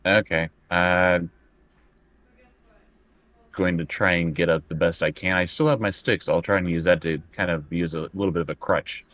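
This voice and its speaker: A man with a deep voice chats through a tabletop game as a player. The sound is dry and close, and he states his moves casually. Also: fairly even intonation, moderate pace, fairly bright tone, dry recording.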